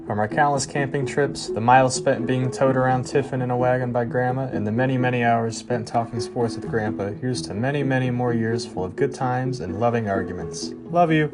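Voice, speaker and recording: A man's voice: medium pitch, brisk, hardly any pauses. A young man delivering a prepared personal congratulation message. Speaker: grandson giving a tribute. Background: loud guitar music.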